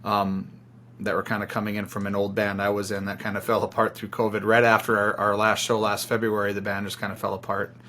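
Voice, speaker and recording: A man's voice: on the low side, completely flat delivery, fairly quick, sharp tone, slight background noise. A man chatting casually as an interviewed musician, rambling informally and piling up phrases. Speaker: band singer-songwriter being interviewed.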